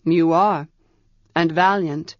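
A woman voicing a calm, wry male wizard. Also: low-pitched, takes it slowly, no echo. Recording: dry, slightly dull audiobook recording.

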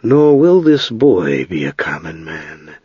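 A man voicing a quiet, wise middle-aged wizard in a storybook, speaking slowly and gently with halting certainty. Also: deep voice, sing-song, slightly rough, dry recording, highs cut off, voice pushed down, full character voice. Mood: grave, gentle.

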